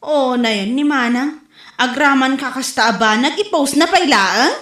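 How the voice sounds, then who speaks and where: Drama role: woman as indignant younger sister, hardworking mom, very high voice, Ilocano accent, roomy echo. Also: normal speed, fairly bright tone. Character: younger sister, fed-up working mother standing her ground. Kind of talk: Ilocano comedy-drama acting.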